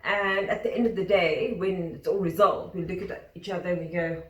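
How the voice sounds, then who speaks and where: Low-voiced woman, echoey room. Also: steady tone. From South Africa. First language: Afrikaans. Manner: hesitant, frequent "um" pauses. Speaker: co-host. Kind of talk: casual chat. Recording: roomy sound, distant mic.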